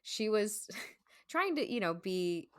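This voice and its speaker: A woman speaking as a guest in casual conversation, with informal, halting phrasing and frequent asides. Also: mid-range voice, sing-song, fairly bright tone, smooth, no echo. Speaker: guest, stage performer.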